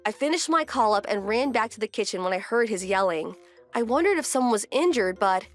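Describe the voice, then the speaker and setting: A woman's voice: a little high, lively intonation, fairly quick, soft background music, natural voice. A woman playing a composed, wry wife who narrates her domestic story in a scripted manga dub. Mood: dismayed.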